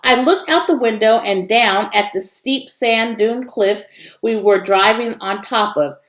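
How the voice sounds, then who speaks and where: A woman's voice: fairly high pitch, measured pace, fairly bright tone. A woman narrating a children's story expressively, in a roomy, echoing recording. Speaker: read-aloud narrator.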